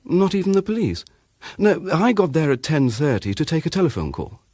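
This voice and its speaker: An actor playing a courteous, reticent gentleman witness with a British accent, speaking politely and hesitantly in a radio drama. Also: on the high side, very animated, a little fast, dry recording, clean studio sound. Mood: defensive. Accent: British English.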